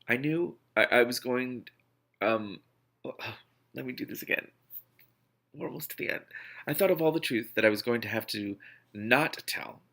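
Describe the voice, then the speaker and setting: A man's voice: medium pitch, creaky, pushed and bright. A man reading a novel aloud expressively, often stumbling and breaking off to react. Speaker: book reader, reaction host.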